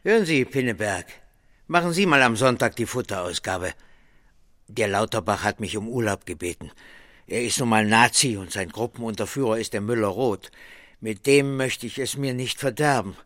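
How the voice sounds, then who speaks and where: An actor playing a blustering, bullying small-town merchant boss, gruff and sarcastic, in a German radio drama. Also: male voice, fairly low pitch, moderate pace, fairly bright tone, lightly acted. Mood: brisk, commanding.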